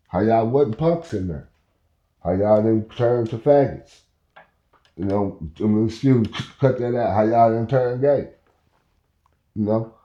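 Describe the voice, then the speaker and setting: A man's voice: mid-range voice, warm tone. A man in his fifties telling a rambling story in casual talk, often checking in with "you know where I'm coming from.". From Oklahoma. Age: 50s. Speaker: podcast host. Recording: muffled, echoey room.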